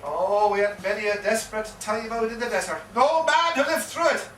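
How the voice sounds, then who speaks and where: Echoey live stage recording, male actor as Irish old soldier, garrulous, forceful, theatrical yarn-spinner, faint noise. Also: high-pitched, brisk, far from the mic. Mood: dramatic, boastful. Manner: theatrical, dramatic storytelling with repeated phrases. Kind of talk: live stage performance of a comic one-act play. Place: live performance in a pub.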